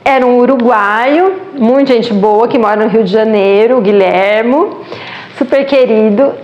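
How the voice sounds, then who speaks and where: A woman's voice: on the high side, a little slow, dull audio. Brazilian lady vlogging her trip, lots of laughs and "né", roomy echo. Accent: Brazilian Portuguese. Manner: chatty, animated, laughs often, says "né". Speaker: travel vlogger. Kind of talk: casual travel storytelling.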